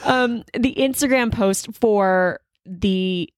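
A woman's voice: medium pitch, slow, no room sound. Chatty woman podcaster, dry sound. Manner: chatty, laughs often, light and playful. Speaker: podcast co-host. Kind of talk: casual chat.

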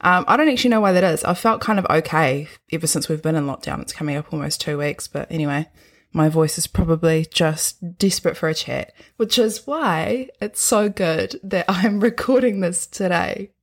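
A young woman with a New Zealand accent and a husky voice, hosting a podcast in an upbeat, earnest monologue. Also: lowish voice, normal speed. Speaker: podcast host.

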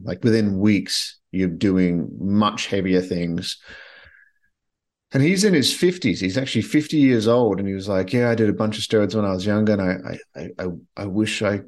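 A man's voice: sits low, darkish tone. A man hosting a podcast, talking bluntly and with strong opinions in a casual monologue, often restarting his sentences. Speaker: podcast host.

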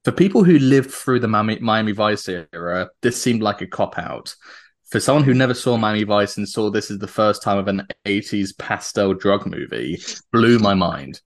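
A man's voice: on the low side, animated, moderate pace. A British man chatting enthusiastically as a podcast guest, laughing often between his points. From Britain. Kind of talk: casual chat.

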